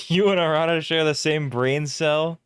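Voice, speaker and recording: A man's voice: fairly high pitch, fairly even intonation, unhurried, smooth, no room sound, studio quality. A young man chatting casually with his live-stream chat while drawing, laughing often and reacting to comments.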